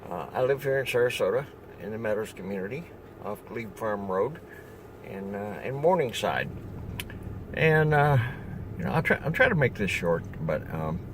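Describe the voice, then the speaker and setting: Older man, rambling and chatty, low voice, noisy outdoor recording with traffic behind. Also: lively intonation, moderate pace. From upstate New York. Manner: rambling, chatty, frequent fillers and restarts. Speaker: solar salesman. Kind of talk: casual self-introduction video.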